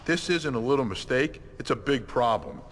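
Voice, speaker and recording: A man's voice: mid-range voice, lively intonation, moderate pace, a bit bright, slight background noise, lightly acted. Man as a blunt, scolding workshop boss. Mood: exasperated. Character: gruff, fed-up male workshop boss, quick to scold.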